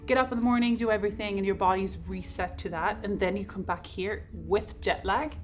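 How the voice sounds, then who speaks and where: A woman's voice: mid-range voice, fairly quick, slight background noise. Chatty woman vlogger. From Sweden. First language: Swedish. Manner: chatty, excitable, laughs often. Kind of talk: casual chat to camera.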